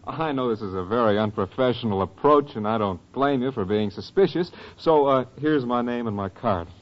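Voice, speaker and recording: A man's voice: mid-range voice, expressive, normal speed, lightly acted. A man playing a polite, earnest, apologetic magazine photographer in a 1940s radio comedy. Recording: muffled old-time radio recording.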